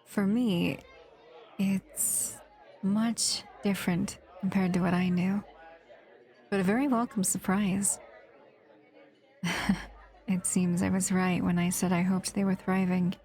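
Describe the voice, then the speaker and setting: A woman acting a protective, wistful monster who escaped a lab, speaking gently to the listener in an audio roleplay. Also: medium pitch, fairly even intonation, slow pace, long pauses, some chatter behind. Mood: warm, content.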